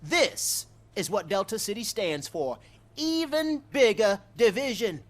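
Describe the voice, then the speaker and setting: Lively, indignant crusading woman. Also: medium pitch, a little slow. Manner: declamatory, accusing. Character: indignant, self-righteous crusading activist. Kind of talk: video game cutscene acting.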